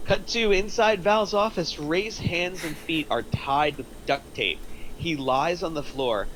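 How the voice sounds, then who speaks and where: A man's voice: on the high side, a little slow, a bit bright, rough recording. A man reading stage directions as narrator at a screenplay table reading. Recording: faint room noise.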